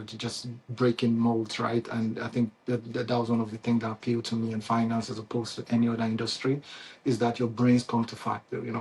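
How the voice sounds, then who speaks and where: A man's voice: medium pitch, darkish tone, husky. A man with a Nigerian accent, a company founder talking casually and unscripted to his customers, with frequent fillers and restarts. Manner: conversational, many fillers and restarts, chuckles often. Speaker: CEO and founder hosting a company Q&A.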